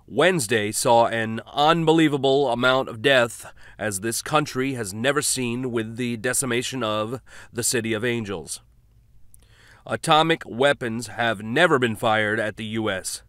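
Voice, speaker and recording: A man playing a solemn American president giving a measured, resolute address in an audiobook. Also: medium pitch, fairly bright tone, clear, resonant tone, no echo, clean studio sound, lightly acted. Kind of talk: political address.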